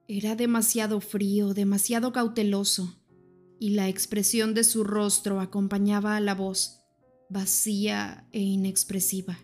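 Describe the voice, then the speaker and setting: A woman reading a first-person story as an audiobook narrator, in an even, measured way. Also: medium pitch.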